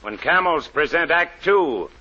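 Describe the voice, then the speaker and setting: A man's voice: on the high side, sing-song, unhurried, very noisy. A man announcing and narrating a 1940s radio drama in scripted style. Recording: muffled old radio broadcast, faint music and audience.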